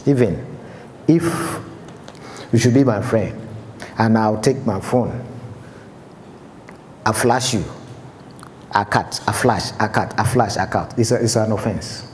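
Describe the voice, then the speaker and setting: A man's voice: fairly low pitch, slow pace, pauses often, darkish tone. Man lecturing in an echoey hall. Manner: explanatory, checks understanding with the audience. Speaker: fire safety instructor. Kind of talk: seminar lecture. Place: large lecture room. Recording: echoey hall with faint background noise.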